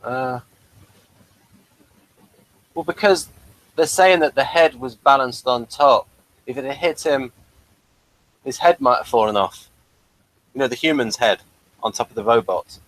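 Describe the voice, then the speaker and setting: Man, mid-pitched, informal co-reviewer, explanatory and slightly halting. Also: a bit bright, no echo, low-quality recording. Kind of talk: casual unscripted review chat.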